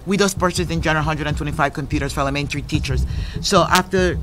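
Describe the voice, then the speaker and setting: A man's voice: a little high, fast, a bit bright, a little hiss or hum, poor audio. A man explaining budget decisions at a school board meeting, repeating himself and breaking off phrases. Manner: explanatory, repeats points, some broken phrasing. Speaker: school superintendent. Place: public meeting room.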